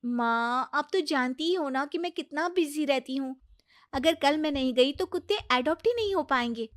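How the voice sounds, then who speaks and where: A woman's voice: high voice, lively intonation, normal speed, a bit bright, no echo, clean studio sound. A woman voicing a self-important, busy young daughter-in-law with a dismissive manner in a dramatised moral story. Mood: self-righteous.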